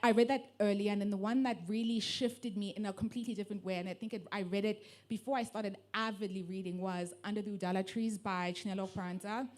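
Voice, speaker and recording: A young woman from Africa, speaking thoughtfully on a book panel with pauses and restarts. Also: mid-range voice, fairly even intonation. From South Africa. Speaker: panelist, online book lover. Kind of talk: casual panel talk.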